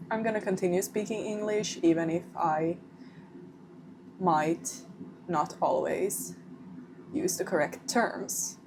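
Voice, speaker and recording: A woman's voice: lowish voice, background noise. A young woman with a Swedish accent, Swedish her first language, chatting casually to camera in her home kitchen as a vlogger and often rephrasing herself.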